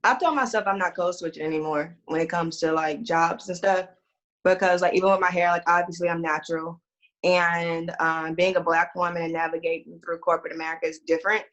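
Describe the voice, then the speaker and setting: Low-voiced young woman with a Texas accent. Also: steady tone, brisk, fairly bright tone, lo-fi sound. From Houston, Texas. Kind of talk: casual conversation.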